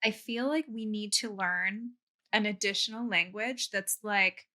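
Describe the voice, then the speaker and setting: A woman's voice: mid-range voice, a little slow, pushed and bright. A woman hosting a podcast, bantering casually and swearing now and then. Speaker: podcast host. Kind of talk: casual chat.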